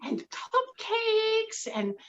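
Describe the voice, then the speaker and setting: A woman voicing an excited, bubbly mother hen with a squealing, gushing manner, in a slightly dull lo-fi recording. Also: very high voice, extremely slow, pitched above the performer's own voice, heavily acted.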